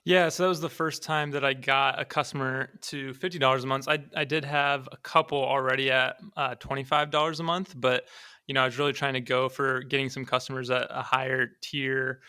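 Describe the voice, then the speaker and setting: A man's voice: medium pitch, flat delivery, brisk, pushed and bright, smooth, no room sound, clean studio sound. A young man speaking as a podcast guest in a casual, conversational, easygoing and modest way. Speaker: podcast guest, startup founder. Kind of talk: casual conversational interview answers.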